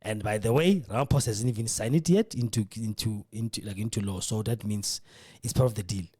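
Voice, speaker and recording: A South African man whose first language is Setswana, hosting a radio show in casual, animated commentary that mixes English with Setswana. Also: fairly low pitch, a little fast, breathy edge. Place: radio studio.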